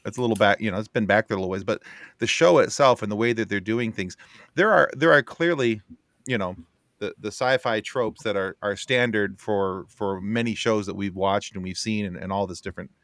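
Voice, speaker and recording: Male panelist, low register, dry recording, casual and halting while musing aloud. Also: fairly bright tone, no room sound. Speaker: podcast panelist.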